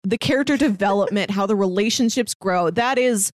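A woman co-hosting a podcast, casually discussing a TV series in an animated, opinionated way. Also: mid-range voice, a little fast, no room sound. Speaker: podcast co-host.

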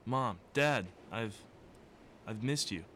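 A man's voice: medium pitch, animated, takes it slowly, pushed and bright. A man playing a wry young brother in an audio drama, with a casual, sardonic delivery. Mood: emotional. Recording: recording with faint background noise.

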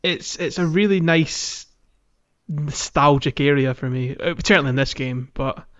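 A man co-hosting a podcast, chatting casually about video games in a halting, rambling way. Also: fairly high pitch, a bit bright, lo-fi sound. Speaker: podcast co-host. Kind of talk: casual video game playalong chat.

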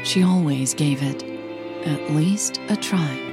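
A woman's voice: low voice, slow pace. A woman hosting an audio fiction podcast, with music behind her voice. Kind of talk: scripted story narration and casual outro chat.

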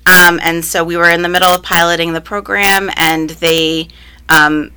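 Upbeat young woman teacher, bright voice. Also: on the low side, flat delivery, normal speed, clear, resonant tone. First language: Spanish, alongside English. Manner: upbeat, frequent ums and likes. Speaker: first grade teacher, interviewee. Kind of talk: casual interview answers.

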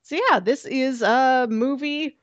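A woman co-hosting a podcast in casual chat, talking in an animated way and often laughing as she speaks. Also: sits high, slow, no room sound, dull audio. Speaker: podcast co-host.

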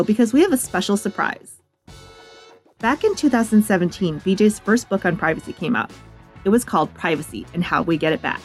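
Wry woman hosting a privacy podcast. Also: lowish voice. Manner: wry, jokey delivery of tips. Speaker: podcast host. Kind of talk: scripted podcast narration. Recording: faint music bed behind.

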